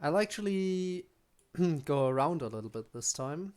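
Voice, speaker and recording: A man's voice: fairly high pitch, expressive, a little slow, darkish tone, clean tone. A young man with a German accent, a native German speaker, casually chatting with his chat while streaming a game, with frequent ums and restarts.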